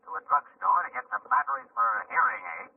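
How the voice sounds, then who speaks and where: An actor playing a plain-spoken, somewhat hesitant police lieutenant in 1940s radio style, on a muffled old recording. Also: male voice, fairly high pitch, wildly swooping pitch, quick pace, gravelly, breathy edge, distant mic, heavily acted. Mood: matter-of-fact. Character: matter-of-fact police lieutenant on the telephone.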